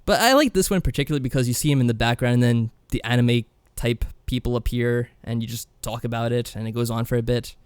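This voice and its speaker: A man's voice: mid-range voice, quick pace, few pauses, clear, resonant tone, dry recording. A man co-hosting a podcast, casually recapping a game in a wry, tongue-in-cheek way. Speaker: podcast co-host.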